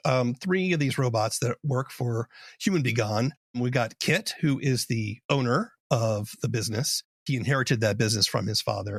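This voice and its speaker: A man hosting a podcast interview in a measured, explanatory, conversational way. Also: medium pitch, fairly bright tone, dry recording, clean studio sound.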